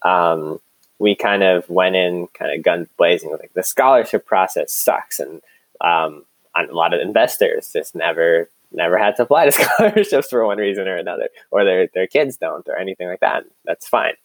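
A man with a very low-pitched voice talks as a startup co-founder in an interview. His delivery is casual and conversational, like someone recounting stories informally. The sound is dry, with no room echo. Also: moderate pace.

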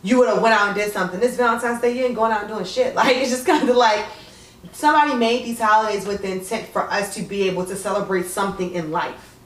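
A woman in her twenties in a casual group chat, talking in a loose, expressive way. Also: medium pitch, quick pace. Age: 20s. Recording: roomy, distant mic.

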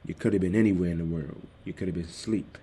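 A man hosting his own podcast, talking casually and directly to his listeners in a calm, soothing voice into a desktop microphone. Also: sits low, quick pace, slight background noise. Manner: easygoing, addresses listeners directly. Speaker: podcast host. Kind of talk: casual solo podcast talk. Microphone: desktop USB microphone.

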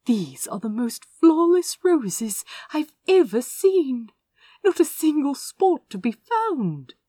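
Genteel young-hearted prince female narrator acting: exclamatory, gentle, higher voice, very animated delivery for an audiobook. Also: slow, dry recording, studio quality, full character voice. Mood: delighted excitement. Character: Azra, refined male prince, gentle, delighted, easily awed. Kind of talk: storybook character reading.

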